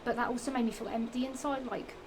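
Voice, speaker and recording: A woman's voice: on the high side, steady tone, quick pace. A young woman with a Norfolk accent chatting casually about shoes in a vlog, rambling and repeating herself, her voice a little strained from the heat. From England. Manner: rambling, self-repeating, giggly, with many fillers and restarts. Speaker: vlogger. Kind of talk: casual chatty product show-and-tell. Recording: noisy home recording.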